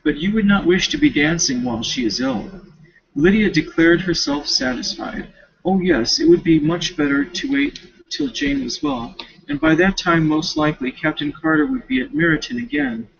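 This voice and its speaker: A male student reading a novel aloud with casual asides, expressive and now and then stumbling over words. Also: high-pitched, normal speed, vocal fry, slightly rough, muffled recording.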